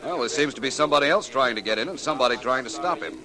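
A man playing a suave, dry-witted private detective in 1940s radio drama, crisp, formal and self-assured. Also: medium pitch, expressive, racing, a crowd in the background, lightly acted. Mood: wry, unruffled.

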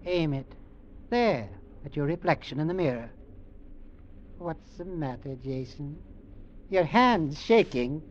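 An actor playing a cold, domineering, scheming uncle with a curt, stern manner in mid-century radio drama style. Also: male voice, a little high, expressive, takes it slowly, long pauses, slight background noise. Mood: stern, pressing. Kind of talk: 1940s-50s radio drama acting. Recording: muffled old radio recording.

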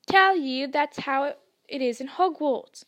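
A woman voicing a huge, gruff, kindly male gamekeeper who talks bluntly. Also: high-pitched, animated, normal speed, fairly bright tone.

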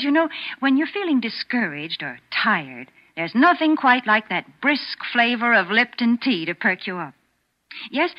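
A woman doing folksy, chatty advertising banter in 1940s radio style. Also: fairly high pitch. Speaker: commercial spokeswoman. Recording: muffled old radio recording.

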